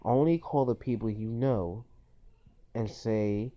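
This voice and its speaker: A man's voice: fairly low pitch, slow. A man giving informal list-style advice as a video host, in an earnest, cautionary manner with repetitive phrasing. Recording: dull, lo-fi phone recording.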